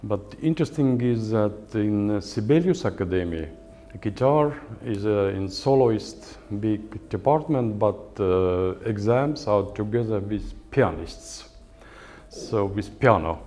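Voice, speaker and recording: An older man with an Estonian accent gives a lecture on guitar in English, which is not his first language. He speaks slowly, with many pauses and filler sounds. His voice is low and mellow. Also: expressive. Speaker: guitar professor giving a lecture. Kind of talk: lecture with halting non-native English. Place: lecture hall at a music academy.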